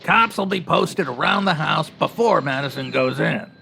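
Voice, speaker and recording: A man playing an excitable, fretful newspaperman sidekick in a 1940s radio style comedy, with punchy period slang. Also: a little high, moderate pace, creaky, a little hiss or hum, exaggerated voice. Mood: eager. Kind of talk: 1940s radio crime drama acting.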